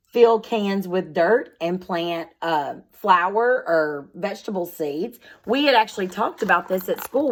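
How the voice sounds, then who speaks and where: A woman speaking as a schoolteacher, reading aloud and explaining things in simple, instructive words addressed directly to young children. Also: on the low side.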